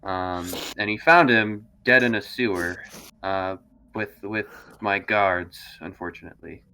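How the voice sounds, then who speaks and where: A man voicing a young noble in a tabletop game, evasive and trusting, with a halting, hedging delivery. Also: lowish voice, pushed and bright, a little hiss or hum, rough recording. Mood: uneasy.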